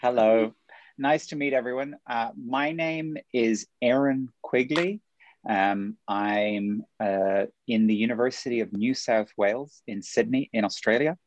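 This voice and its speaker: A man who is head of a university school, introducing himself calmly in an online meeting. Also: medium pitch, unhurried, no room sound, muffled recording. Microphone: video call.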